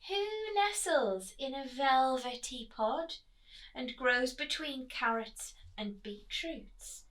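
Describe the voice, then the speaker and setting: A woman teacher reading expressively to children at storytime, pausing to prompt them with questions. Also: high-pitched, lively intonation, fairly bright tone, rough recording.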